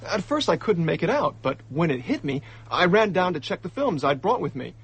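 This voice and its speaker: A young male diplomat in a radio drama, indignant and hot-headed. His voice sits fairly high with a lively swing and a touch of husk. He talks in excitable slang. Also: a little fast, slightly rough, exaggerated voice. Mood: agitated recounting.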